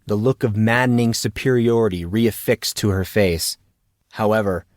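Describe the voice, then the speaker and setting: Low-voiced man narrating a story, dry recording. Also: dry recording. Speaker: audiobook narrator. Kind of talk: scripted fantasy story narration.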